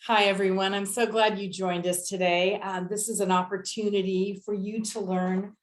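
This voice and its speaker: A woman moderating an online student panel as an admissions director, speaking in a measured way with many "um" pauses. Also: mid-range voice, flat delivery. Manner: measured, hesitant with many "um" fillers. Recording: echoey room.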